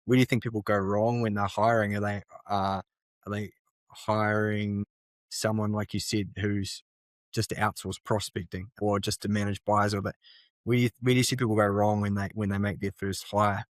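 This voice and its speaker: A man's voice: on the low side, flat delivery, moderate pace, dry recording. A man hosting an interview, asking long, rambling questions that he reworks as he goes and nodding along with frequent affirmations. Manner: rambling, self-correcting questions, many affirmations. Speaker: interview host. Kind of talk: conversational interviewing.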